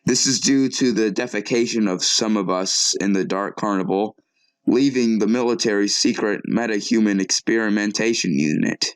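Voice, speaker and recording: A man's voice: lowish voice, normal speed, no room sound, natural voice. A man playing an earnest TV news anchor in an audio drama, talking in a conversational, sometimes hesitant way. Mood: serious, puzzled. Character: male TV news anchor, earnest, shaken but composed. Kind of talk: acted local TV newscast.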